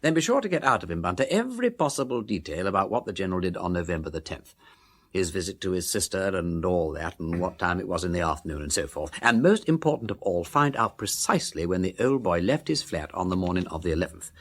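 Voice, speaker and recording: Aristocratic detective, upper-class English, low-pitched, very animated, jaunty, inquisitive, radio drama. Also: male voice, quick pace. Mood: pondering, brisk. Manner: breezy, foppish, old-fashioned slang, questioning.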